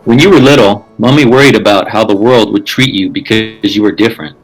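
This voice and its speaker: A man's voice: on the low side, a little fast, rough recording. A man reading a children's picture book aloud. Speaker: reader. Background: guitar music.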